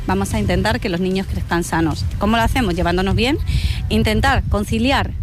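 A woman from Chile, around forty, speaking Spanish with a softened Chilean accent, talking emphatically and casually in a live radio street interview on a seafront. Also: mid-range voice, fairly quick, music in the background. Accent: Chilean Spanish, softened after years in Spain. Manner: chatty and emphatic, with frequent "¿eh?" and "oye" asides. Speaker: interviewee on the beach. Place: outdoors on a seafront promenade.

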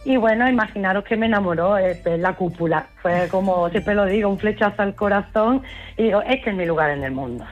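A woman's voice: mid-range voice, brisk, muffled recording. A woman from Huelva with an Andalusian accent, a tour guide answering interview questions in an enthusiastic, explanatory, conversational way. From Huelva, Spain. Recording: music playing behind the voice. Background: music.

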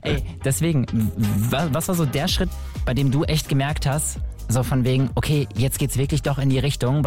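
A man's voice: mid-range voice, darkish tone. A man hosting a casual interview, talking in an informal, rambling, slangy way with bits of English mixed in. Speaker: radio or show host interviewing a guest.

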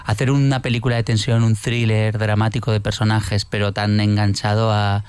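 A man's voice: fairly low pitch, flat delivery, moderate pace, a little mellow, smooth, no echo. A man, a film director, talking reflectively in an interview with frequent restarts and tag questions. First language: not Catalan; works in another language. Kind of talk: conversational interview.

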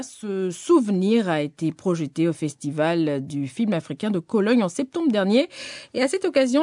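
Dark-voiced female news presenter, dry sound. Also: medium pitch, no echo. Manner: steady read delivery. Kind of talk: scripted news narration.

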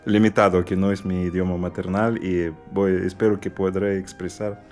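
Deep-voiced man. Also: little pitch movement, moderate pace, darkish tone, faint music behind. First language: Russian. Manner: hesitant, searching for words, many fillers. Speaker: guest pianist. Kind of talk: halting interview answers in a second language.

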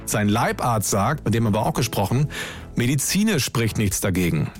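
Male podcast narrator with soft music. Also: medium pitch, very animated. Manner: measured storyteller, lays out questions one by one. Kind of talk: scripted documentary narration.

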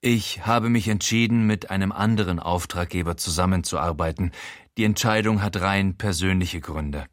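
A man's voice: deep voice, moderate pace, no echo, studio quality, close to an everyday voice. A man voicing an unflappable gardener-enforcer in an audiobook, courteous in tone but firm and formal. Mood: politely firm.

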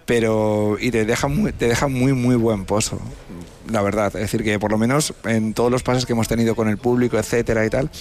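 A man's voice: lowish voice, steady tone, a little fast, a little mellow, slight background noise. A man, the creator of a series, speaking as a guest in a casual radio interview, earnest and thoughtful, often repeating words.

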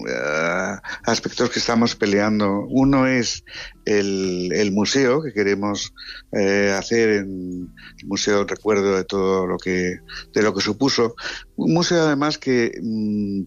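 A mature man from Spain speaks as an interview guest in a low voice with a Spain accent. He is conversational and halting, repeating words for emphasis, and the line sounds dull and band-limited. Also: faint music behind. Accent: Spain Spanish. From Madrid or Murcia, Spain.

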